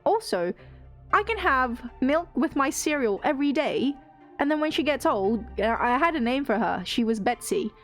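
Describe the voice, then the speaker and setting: A woman's voice: high voice, sing-song, moderate pace, fairly bright tone, faint music behind. A young British woman streamer, rambling and laughing through casual chat and swearing freely.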